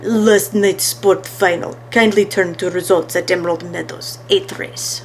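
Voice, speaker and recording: A woman voicing a formal, deliberate male professor, a slippery showman in a children's storybook. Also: lowish voice, fairly even intonation, slight background noise. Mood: commanding, deliberate. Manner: deliberate, formal, tags sentences with "yes".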